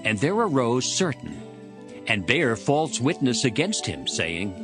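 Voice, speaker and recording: A man's voice: mid-range voice, very animated, measured pace, over music. A man narrating dramatized scripture as a storyteller between the character parts.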